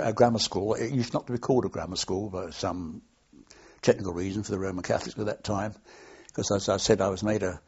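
Older guy, husky, kinda low, rambly story on an old dull tape. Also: a little mellow. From London, England. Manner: rambling, anecdotal, frequent hesitations. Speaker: oral history interviewee. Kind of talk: reminiscing, unscripted.

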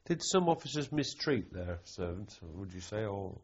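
A man's voice: on the low side, big pitch swings, normal speed, darkish tone, slightly rough. A man putting probing questions in an oral history interview, often rephrasing as he goes. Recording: dull, muffled tape sound.

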